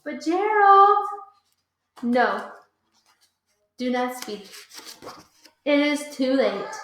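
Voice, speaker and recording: A woman's voice: sits high, sing-song, extremely slow, a bit bright, a little hiss or hum, heavily acted. Woman doing Gerald, a fretful sneezing friend. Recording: echoey room.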